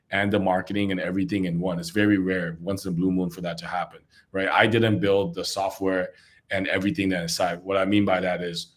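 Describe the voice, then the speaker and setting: A man who grew up in Congo, a startup CEO, giving casual interview answers over a remote call and often tagging his points with "right?". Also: sits low. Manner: conversational, often tags "right?".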